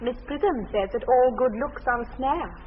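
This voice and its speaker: A woman's voice: high-pitched, lively intonation, normal speed. An actress playing a sweet, naive, primly witty young English lady in a period radio comedy, speaking politely and precisely. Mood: coyly prim. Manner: polite, precise, earnestly artless, formal. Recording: tinny, band-limited old radio sound, faint noise.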